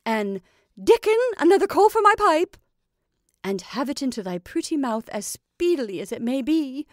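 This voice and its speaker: A woman voicing a doting, sharp-tongued old witch with a high, sharp tone. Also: dry recording, studio quality. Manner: fond and coaxing, archaic speech.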